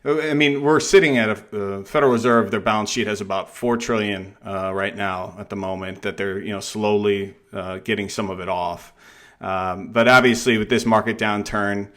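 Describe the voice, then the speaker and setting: Male interviewer, fairly deep pitch, hesitant conversational delivery for a finance discussion show. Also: steady tone, normal speed, fairly bright tone. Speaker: podcast interviewer.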